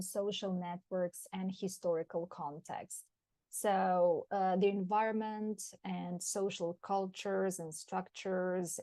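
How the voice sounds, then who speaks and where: A woman from Serbia, an English teacher and researcher, delivering a prepared talk in a measured, list-like way with frequent ums. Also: fairly low pitch, a little mellow, no echo.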